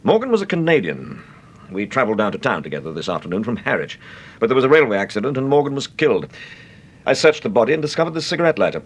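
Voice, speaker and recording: An actor playing a suave married gentleman detective in a British radio drama, speaking in a measured, courteous, probing way with a British accent. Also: medium pitch, sing-song, breathy edge, lo-fi sound.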